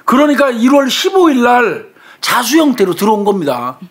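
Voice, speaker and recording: Commentator, Chungcheong Korean accent, relaxed conversational delivery. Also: medium pitch, moderate pace. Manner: conversational, with frequent fillers and murmured agreement. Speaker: crime commentator. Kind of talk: casual true-crime discussion.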